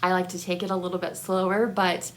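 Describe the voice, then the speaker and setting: A woman chatting casually about books as a vlogger, in a hesitant, rambling, halting way. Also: on the low side, little pitch movement, a bit bright. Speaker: book vlogger. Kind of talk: casual book review chat to camera.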